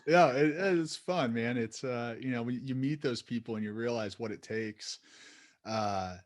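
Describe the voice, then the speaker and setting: An upbeat man hosts a podcast and greets his listeners warmly. His voice has a middle pitch for a man. He talks with his guest over a video call. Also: measured pace. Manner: upbeat, friendly interviewer. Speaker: podcast host. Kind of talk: interview hosting.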